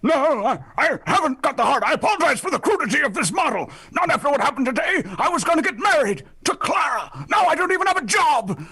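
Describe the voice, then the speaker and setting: A man's voice: very high-pitched, big pitch swings, fast, hardly any pauses, sharp tone, full character voice. A man playing a dejected, pleading young suitor in an exaggerated 1940s radio play style. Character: heartsick, dejected young jobless bridegroom, dramatic.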